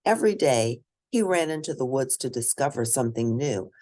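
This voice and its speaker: Very low-voiced woman reading a storybook. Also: lively intonation, fairly quick, mellow. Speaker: host reading picture books aloud. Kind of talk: read-aloud children's storybook narration.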